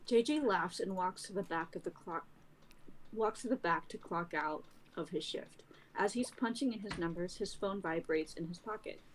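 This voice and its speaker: A woman's voice: fairly low pitch, normal speed, a little hiss or hum, low-quality recording. A young woman narrating stage directions from a drama script. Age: young adult.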